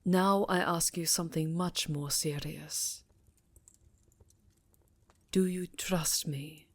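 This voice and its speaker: A woman playing an elegant, affectionate yet guarded vampire countess in intimate roleplay ASMR, with a formal, theatrical, breathy murmur. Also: sits low, fairly even intonation, slow pace, no room sound, full character voice. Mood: grave, pleading. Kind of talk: roleplay ASMR acting, intimate address to listener.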